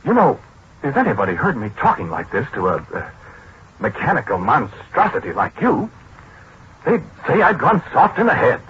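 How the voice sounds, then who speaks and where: Forlorn, self-pitying American scientist, hesitant, very varied intonation, 1940s radio drama with slight hiss. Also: male voice, medium pitch, wildly swooping pitch, normal speed, fairly bright tone. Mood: wistful, self-pitying. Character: disgraced, apologetic scientist, gentle, forlorn, talks to machines. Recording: muffled, noisy 1940s radio recording.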